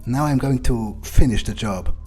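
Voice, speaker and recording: Man acting a menacing male vampire, dark relaxed tone, foul-mouthed, taunting, halting phrasing, video game roleplay, light background music. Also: mid-range voice, breathy edge, close to an everyday voice. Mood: taunting menace. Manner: halting, self-correcting, swearing, taunting.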